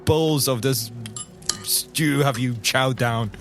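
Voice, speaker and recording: A man's voice: medium pitch, takes it slowly, a bit bright, faint music behind. A man playing a blunt, probing investigator in improvised tabletop roleplay, pressing with repeated questions. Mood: insistent, pressing.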